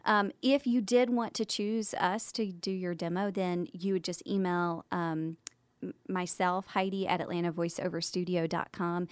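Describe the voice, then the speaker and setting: An American woman with a slightly low voice chats casually as a podcast co-host. She is recorded close on a studio microphone, with very little room sound. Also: fairly even intonation, normal speed, clear, resonant tone, no room sound.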